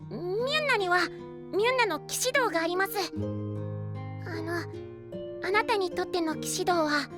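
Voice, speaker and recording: A man's voice: very high-pitched, normal speed. A man playing a small, childlike boy knight in a fantasy audio drama, polite, eager and easily flustered. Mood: gentle, encouraging. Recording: voice over background music.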